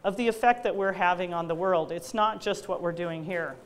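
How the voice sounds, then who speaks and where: Loud, chatty woman engineering lecturer. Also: lowish voice, brisk. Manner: loud, energetic and chatty, with many fillers. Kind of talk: public lecture with slides.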